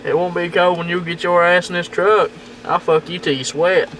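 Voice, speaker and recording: A man's voice: high voice, fairly even intonation, normal speed, heavy background noise. A man taunting someone with crude jokes over a CB radio handset from a parked truck. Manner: crude, taunting, laughs a lot. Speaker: CB radio caller. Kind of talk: crude joking banter on CB radio.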